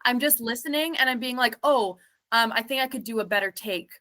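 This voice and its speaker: A woman's voice: a little high, fairly quick, sharp tone. A woman singer and self-producing artist, answering interview questions in a chatty, reflective way full of fillers.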